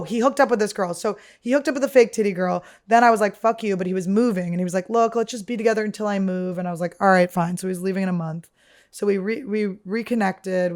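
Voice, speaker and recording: A woman with a deep, damaged-sounding voice hosts a comedy podcast from a studio. She rambles nonstop through crude, self-mocking stories. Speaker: podcast host.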